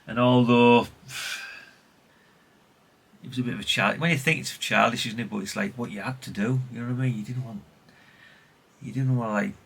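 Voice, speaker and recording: Middle-aged British male hobbyist host, forward and raspy tone, informal, wandering delivery full of self-corrections. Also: mid-range voice, fairly even intonation, normal speed, long pauses, fairly bright tone. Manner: chatty, rambling, self-correcting, tag questions. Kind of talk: casual rambling show-and-tell.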